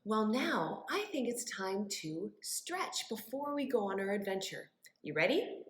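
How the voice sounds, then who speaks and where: A woman's voice: medium pitch, big pitch swings, unhurried. A woman hosting a children's storytime as a librarian, leading rhymes and stories in an encouraging, sing-song way and speaking directly to the children. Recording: roomy, echoing indoor sound.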